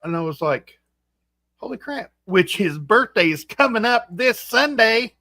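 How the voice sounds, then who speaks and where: A man in his forties hosting a casual livestream chat, rambling and halting with fillers and restarts. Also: high-pitched, animated, bright, forceful tone, no room sound, clean studio sound. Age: 40s. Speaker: host.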